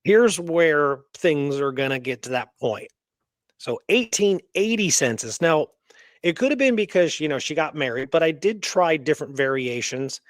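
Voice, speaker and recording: A man's voice: a little high, lively intonation, normal speed, dry recording, studio quality. A man hosting a casual talk on research, conversational and thinking aloud as he presents. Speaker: host.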